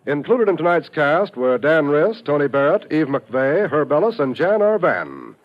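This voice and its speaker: A man's voice: fairly high pitch, very animated, moderate pace. A man with an American accent reading scripted promos and credits as a 1950s radio announcer. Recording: dull old radio broadcast recording. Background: faint music.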